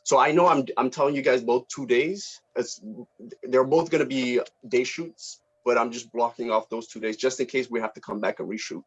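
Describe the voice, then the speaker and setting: A man's voice: mid-range voice, steady tone, normal speed, breathy edge. A man leading a casual online production meeting as director, speaking informally and appreciatively, with hesitant phrasing. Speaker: student film director running a table read meeting. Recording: dull lo-fi online call audio.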